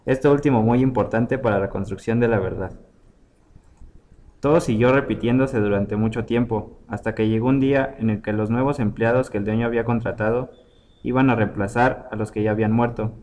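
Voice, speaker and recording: A man's voice: mid-range voice, steady tone, fairly quick, darkish tone. A man reading a scripted short story aloud as its narrator.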